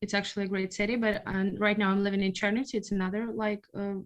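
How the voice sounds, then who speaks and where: A woman's voice: medium pitch, little pitch movement. Anxious young Ukrainian-accented woman. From western Ukraine. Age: 20s. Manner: anxious, halting, many fillers. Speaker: interview guest. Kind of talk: conversational interview.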